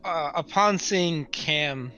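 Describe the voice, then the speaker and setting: A man's voice: on the high side, takes it slowly, bright, forceful tone, highs cut off. A man chatting casually as a player in a tabletop roleplaying game.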